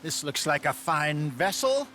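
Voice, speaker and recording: An old American outlaw in a game, with a high-set voice. He sounds knowing and wry, gently mocking as he goes. Boat and water noise is clearly behind him. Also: big pitch swings, unhurried, full character voice. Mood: knowing, easygoing. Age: older. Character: wry, quick-witted old male outlaw and fisherman. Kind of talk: video game cutscene acting.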